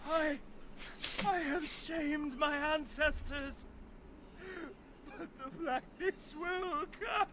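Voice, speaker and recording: High-pitched, despairing doomsayer. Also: male voice, a crowd in the background, exaggerated voice. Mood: broken, grieving. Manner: declamatory, fervent, drawn-out delivery. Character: crazed, despairing refugee doomsayer from a clan. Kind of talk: dramatic fantasy video game acting.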